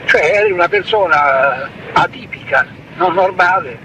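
A middle-aged man with a Roman Italian accent answering questions informally, halting and repeating his words. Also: high-pitched. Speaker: father being questioned. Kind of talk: informal recorded interview. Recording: loud traffic-like ambient noise.

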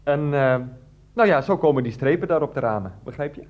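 A man's voice: a little high, expressive, brisk, lo-fi sound, close to an everyday voice. Actor as hesitant family man, swooping theatrical delivery. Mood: flustered, evasive. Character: hesitant, evasive, dramatic family man hosting his cleaning lady. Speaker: actor in a radio play. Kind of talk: Dutch radio drama dialogue.

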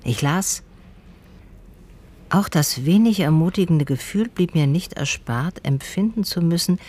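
An actress playing an observant, dryly sardonic woman who narrates her investigation in a radio drama in a measured, literary way. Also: lowish voice, expressive, darkish tone, breathy edge.

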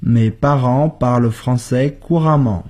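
Slow, husky man modelling sentences. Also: medium pitch, a little mellow. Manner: reads each sentence slowly and clearly, then repeats it. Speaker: co-host of a language lesson.

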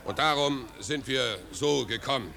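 An actor playing an awkward vagrant who speaks with halting, stiff formality in a 1950s German radio play. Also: male voice, medium pitch, gravelly. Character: awkward vagrant attempting a polite formal speech. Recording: 1950s radio recording, crowd behind. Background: party crowd.

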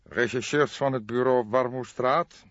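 A man's voice: mid-range voice, a little slow, dry recording, dull audio, pitched above the performer's own voice, close to an everyday voice. A man voicing a haughty, evasive doctor in his late fifties for an audiobook, growling and hemming nonchalantly. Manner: nonchalant, hesitant hemming and drawling.

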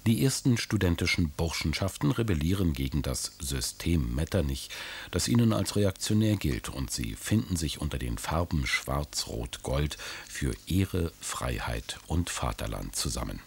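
A man giving measured, documentary-style scripted narration on history for a radio drama. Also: rumbling low, sing-song, vocal fry, a little hiss or hum.

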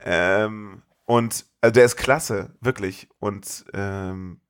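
A man's voice: fairly low pitch, steady tone, slow pace, slight background noise. Slangy, enthusiastic man. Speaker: podcast co-host. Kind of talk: casual chat.

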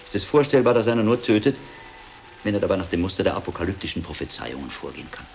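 An actor playing a sharp-minded, impatient medieval scholar monk in a German radio drama, reasoning aloud with deliberate emphasis. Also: male voice, deep voice, sing-song, vocal fry, a little hiss or hum, heavily acted. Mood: brooding. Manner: deliberate reasoning aloud, given to exasperated outbursts.

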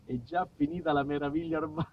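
A man's voice: a little high, level tone, fast, highs cut off, a little hiss or hum. A man performing a comic storytelling monologue for radio, declamatory and mock-epic, shifting between proclaiming and confiding. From southern Italy. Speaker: actor-author narrating his own monologue.

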